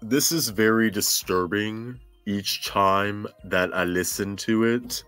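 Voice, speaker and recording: A man with a very low voice talks at an unhurried pace over faint music. He hosts a casual true crime podcast, halting and thinking aloud as he goes. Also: fairly even intonation, a bit bright, clean tone. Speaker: podcast host.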